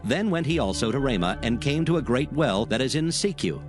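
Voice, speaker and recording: A man's voice: mid-range voice, lively intonation, brisk, over music. A man narrating a dramatized scripture reading in solemn, formal archaic phrasing.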